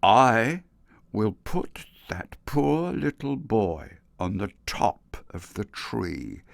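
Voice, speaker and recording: A man voicing a huge, remorseful old male giant in a storybook reading, speaking slowly and heavily. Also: low-pitched, creaky, fairly bright tone, no room sound, studio quality, voice pushed down, heavily acted. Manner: slow, heavy, solemn delivery.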